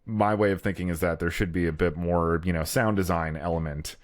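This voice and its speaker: Low pitched guy on a podcast, slangy, thinking out loud, dry close recording. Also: steady tone, brisk, no echo. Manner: informal, slangy, thinking aloud. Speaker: podcast co-host. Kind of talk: casual brainstorming chat.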